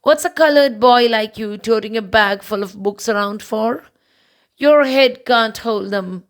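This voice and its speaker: A woman's voice: fairly high pitch, unhurried, clean tone, natural voice. A woman voicing a mocking, scornful male office worker with a jeering manner in a storybook.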